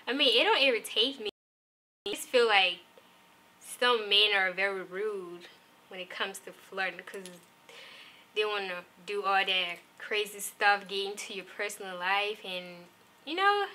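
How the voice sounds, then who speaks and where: A woman guest on a talk show, speaking candidly and relaxed about dating. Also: medium pitch, unhurried, rough recording. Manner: candid, relaxed, gives short agreeing replies. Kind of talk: casual talk show interview.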